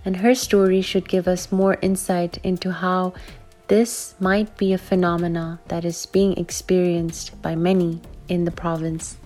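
A woman with a lowish voice hosts a radio podcast, reading her introduction of the episode in a steady, measured way. Faint music plays underneath. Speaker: podcast host. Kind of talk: scripted show introduction.

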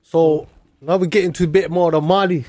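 A man hosts a relaxed chat, agreeing and humming along under his guest. His voice is slightly raised in pitch but mellow and soft in tone. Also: quick pace. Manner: agreeable, constant backchannel hums. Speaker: host. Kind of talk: casual chat.

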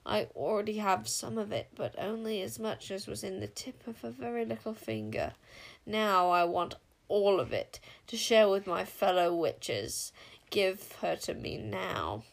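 Woman voicing a slow, guttural monstrous witch king. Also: mid-range voice, lively intonation, creaky. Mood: hungry, demanding. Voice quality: harsh, grating, guttural. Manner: slow, drawn-out delivery. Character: monstrous feathered male witch king, menacing, crooning, hungry.

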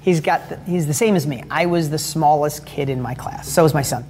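A man with a strikingly high-pitched, light voice talks in an energetic, rambling way, often restarting his sentences. He tells stories with real enthusiasm while he gives motivational advice as a host. A faint hum of background noise sits under him. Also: a little fast. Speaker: host and father giving advice. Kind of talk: motivational talk with storytelling.

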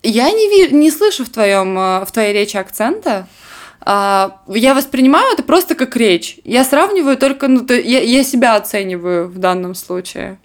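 A woman's voice: on the high side, sing-song, normal speed. A young woman from Crimea with a southern Russian accent, co-hosting a podcast in casual chat. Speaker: podcast co-host.

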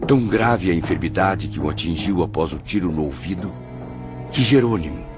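An actor with a Brazilian accent playing a grave spirit who narrates a radio drama in a solemn, sorrowful, literary way. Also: male voice, low-pitched, expressive, normal speed. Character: grave male spirit recalling his companions' suffering. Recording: muffled radio production, music underneath.

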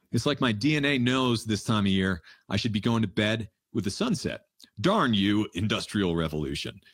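A man in his thirties hosting a casual livestream Q&A, answering listener questions in a relaxed, rambling way and laughing often. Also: on the low side, dry recording, clean studio sound. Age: 30s. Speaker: livestream Q&A host. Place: home in the mountains.

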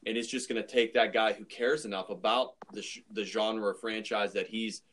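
Man, slightly low voice, podcast co-host, casual discussion. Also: fairly even intonation, moderate pace, fairly bright tone.

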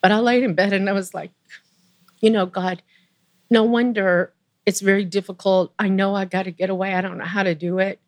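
Earnest woman with a low voice. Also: steady tone. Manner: emotional, earnest, pauses and restarts. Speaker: interview guest. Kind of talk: personal storytelling in conversation.

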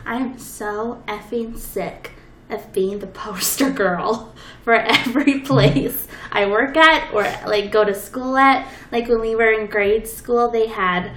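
A woman's voice: fairly high pitch, slow, fairly bright tone. A woman telling anecdotes in a casual chat, laughing often. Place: table with four people. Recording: roomy echo with faint room noise.

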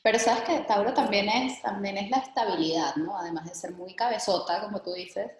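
A woman's voice: mid-range voice, fairly quick, echoey room. A woman hosting an interview, asking questions in a casual, engaged way.

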